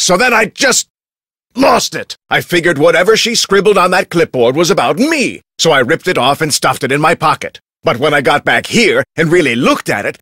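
A self-important, short-tempered male scientist in a video game. His voice runs fairly high and bright, swinging widely in pitch as he delivers formal, brusque lines with prickly arrogance. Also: no room sound, studio quality, full character voice. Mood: indignant. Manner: pompous, formal wording, brusque.

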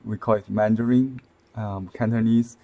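A man in his fifties with a Chinese accent, explaining calligraphy on a live stream from a desk webcam, softly and with many fillers and restarts. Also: on the low side, steady tone, normal speed, lo-fi sound. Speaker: calligraphy and seal-carving teacher. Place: indoor room with shelves, at a desk.

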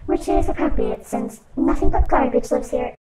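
A woman voicing a loud sneering flower. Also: high-pitched, animated, normal speed, slightly rough, faint music behind, exaggerated voice, rough recording. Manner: sneering, yelling insults. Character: snarky rude young male talking flower. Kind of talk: comic dub acting.

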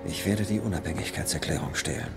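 Serious, determined treasure hunter in a dubbed adventure film, music under it. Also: male voice, sits low, vocal fry. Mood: solemn, resolute. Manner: measured, serious, hesitant when explaining. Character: earnest, determined male treasure hunter and historian. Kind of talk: German film dubbing.

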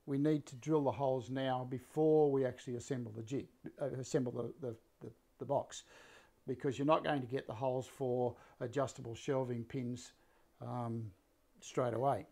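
Croaky older man teaching woodwork. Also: mid-range voice, normal speed. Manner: relaxed, rambling, many fillers and restarts. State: slightly croaky voice. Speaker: woodworking teacher and live-stream host. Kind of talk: live workshop demonstration. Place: woodworking workshop indoors. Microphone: fixed camera, mid-distance framing.

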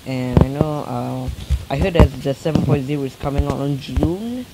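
A teenage boy talks his way through a mobile game in a casual, rambling way, with a mid-range voice. His voice sounds weak and a little worn, as if he is still getting over being sick. Loud hiss and noise sit under the recording. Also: medium pitch, normal speed. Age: teens, fourteen. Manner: rambling, chatty, many restarts. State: weak voice from recent illness. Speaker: gameplay commentator. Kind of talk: casual let's-play commentary.

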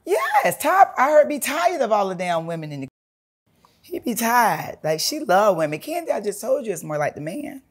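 Raspy woman, forties, studio talk-show guest, lively swooping pitch, blunt and animated. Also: moderate pace. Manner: animated, blunt gossip with bursts of laughter. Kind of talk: candid talk-show interview. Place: bright studio set with city view.

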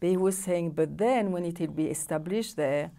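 A woman's voice: on the low side, normal speed, warm tone. A middle-aged woman whose first language is French, hosting a TV studio interview in English with eager, non-native phrasing. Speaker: interview host. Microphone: lapel mic.

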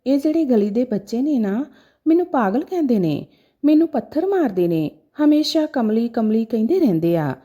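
Woman voicing a high-pitched, volatile, childlike mother. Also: big pitch swings, moderate pace, warm tone. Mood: childlike, plaintive. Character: mentally disturbed mother, childlike, suspicious and volatile.

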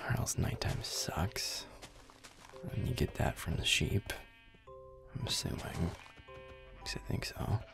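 A man's voice: low voice, gravelly. A man playing a grumpy, defensive werewolf boyfriend in a roleplay audio, muttering sarcastically as he plays a video game. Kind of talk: roleplay audio, gaming chat with listener.

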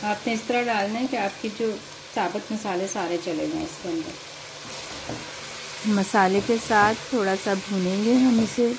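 A woman with an Urdu-influenced Hindustani accent calmly explains a home cooking recipe step by step to viewers. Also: mid-range voice, a little slow, background noise. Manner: calm, explanatory, addresses viewers directly. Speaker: home cooking channel host. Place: home kitchen at the stove.

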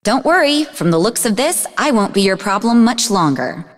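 An actress playing a sarcastic, sharp-tongued young woman scientist in a video game, with a mocking, snappy manner. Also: medium pitch, sing-song, normal speed, close to an everyday voice. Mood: wry, uneasy. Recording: long reverberant hall echo.

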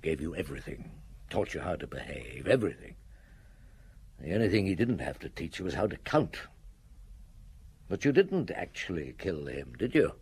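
A man playing a weary, shrewd middle-aged police detective chief in a radio drama, grave and measured. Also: low voice, big pitch swings, darkish tone, slightly rough, full character voice. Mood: accusing.

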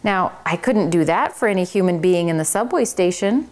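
A woman reading aloud and voicing a gentle, homesick male cricket who speaks softly. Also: fairly low pitch, animated, close to an everyday voice. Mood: wistful. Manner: soft, wistful, unhurried. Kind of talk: children's book read-aloud.

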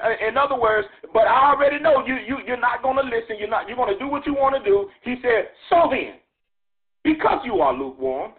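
Male preacher with a very high voice, teaching over a phone line. Peppers every phrase with "amen" and stumbles into repeats. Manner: punctuates nearly every phrase with "amen", repeats words while searching for the thought; grows more emphatic and insistent as he goes. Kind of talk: sermon teaching. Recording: narrow phone-line sound.

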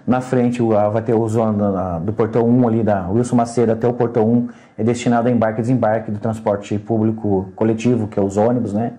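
A man's voice: on the low side, little pitch movement, fast talker, hardly any pauses, warm tone. A middle-aged man explaining things as an official in an interview, often ending phrases with a tag question. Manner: frequent "né" tags. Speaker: traffic agency official being interviewed. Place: indoors at radio station.

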